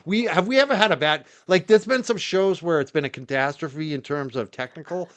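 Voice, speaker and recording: A man's voice: on the high side, a little fast, a bit bright. A man hosting a livestream in casual chat, rambling with lots of fillers and laughing easily. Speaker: livestream host.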